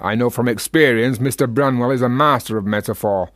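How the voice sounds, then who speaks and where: A man's voice: medium pitch, brisk, a bit bright, no room sound, clean studio sound, lightly acted. Provocative Yorkshire freethinker, played by a man. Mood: argumentative, expansive. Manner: rhetorical, provocative. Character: talkative Yorkshire freethinker, teasing and philosophising. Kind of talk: radio play acting.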